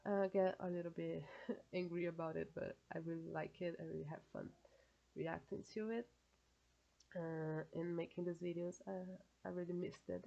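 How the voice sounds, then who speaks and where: Brazilian woman with a gravelly, low voice. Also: warm tone, highs cut off. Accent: Brazilian Portuguese. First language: Portuguese. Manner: chatty, many fillers, self-correcting phrasing. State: stuffed nose from a cold. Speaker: reaction video creator. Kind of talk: casual solo commentary.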